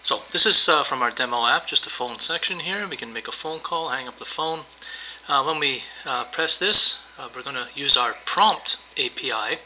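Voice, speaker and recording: A man with a very bright, forceful voice at a middling pitch walks through a software demo. He explains things casually, one step at a time, as in an unscripted screencast. Some background noise sits behind the voice. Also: low-quality recording. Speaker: software tutorial presenter. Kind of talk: screencast demo narration, semi-improvised walkthrough.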